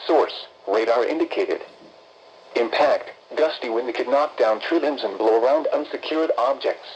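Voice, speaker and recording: A man's voice: medium pitch, darkish tone, dull audio. Synthetic man's voice on noisy weather radio. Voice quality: synthetic text-to-speech voice. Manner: impersonal, evenly measured, clipped list-like phrasing. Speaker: automated weather radio announcer. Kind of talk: formal scripted emergency weather bulletin.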